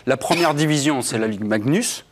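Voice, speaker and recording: A man's voice: medium pitch, expressive, a little fast, slightly rough. A man hosting a talk show, who interviews his guests and sums up what they say. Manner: leading, summarizing questions.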